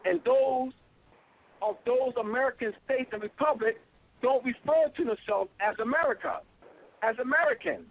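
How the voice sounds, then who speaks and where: A man giving an insistent talk, stopping often to fill pauses with ums and uhs, heard over a phone line. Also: very high voice. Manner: insistent, repetitive, many filler ums and uhs. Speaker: guest speaker. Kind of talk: call-in lecture, talking to a host. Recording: tinny phone line, dry.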